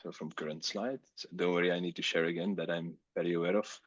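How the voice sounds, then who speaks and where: A Belgian man whose first language is Dutch, with a Flemish accent, presenting a technical webcast in a relaxed, chatty way with many fillers. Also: deep voice, fast talker, slightly rough, dry recording, lo-fi sound.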